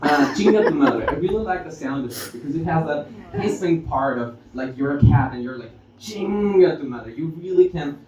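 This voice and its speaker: A Mexican-accented man, native in Spanish, does amateur stand-up in a very echoey, noisy room. His fairly high voice swings up and down as he stops, restarts and plays with the audience. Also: animated, moderate pace, hardly any pauses, crowd noise. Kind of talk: stand-up comedy with audience interaction. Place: live stage in a roomy venue. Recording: echoey noisy room, distant muffled pickup.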